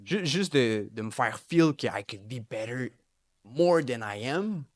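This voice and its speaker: A man's voice: medium pitch, unhurried, no room sound, studio quality. A young man, a rapper guest talking casually and mixing with English slang. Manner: relaxed, mixes French and English slang. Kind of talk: casual interview chat.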